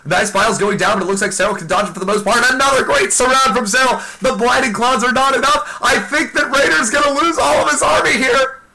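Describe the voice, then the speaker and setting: A man giving excited live play-by-play commentary on a video game match, exclaiming often, while losing his voice. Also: extremely high pitch, creaky, over music, low-quality recording. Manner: excitable, exclaims often, talks fast in bursts. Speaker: esports commentator.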